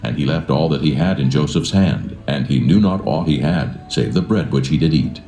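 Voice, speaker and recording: A man narrating scripture in a solemn, measured and formal scripted style, with a reverent manner. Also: very deep voice, dark tone. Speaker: Bible narrator. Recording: roomy echo with music behind.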